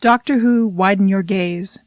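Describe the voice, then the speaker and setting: A woman's voice: mid-range voice, unhurried, no echo, studio quality. A woman reading scripted credits and a disclaimer as an announcer.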